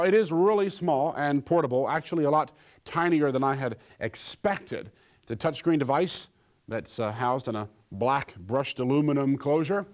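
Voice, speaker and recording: A man presenting a scripted gadget review, with a joking streak in his delivery. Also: on the high side.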